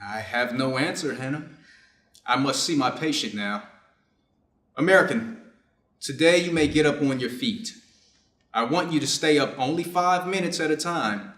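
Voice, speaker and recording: A man playing a conflicted, dutiful surgeon in a reader's theater piece, speaking in a measured, formal, earnest way. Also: mid-range voice, animated, a bit bright, close to an everyday voice. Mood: firm, clinical. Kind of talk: reader's theater stage reading. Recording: echoey room.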